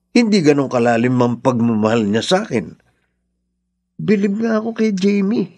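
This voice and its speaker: A man's voice: a little high, darkish tone. A man acting in a Filipino radio drama as a cynical, resigned older brother.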